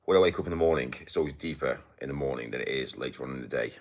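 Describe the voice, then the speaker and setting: A British man with a deep voice, chatting casually on a livestream in a halting, mumbly way full of fillers. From United Kingdom. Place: indoors, getting ready to go out.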